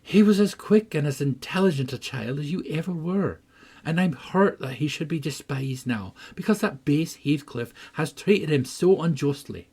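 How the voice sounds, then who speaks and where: A man's voice: a little high, animated, moderate pace, darkish tone, slightly rough. A man voicing an earnest, motherly housekeeper, reproachful and moralizing, in a storybook reading. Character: earnest, motherly housekeeper scolding her young mistress.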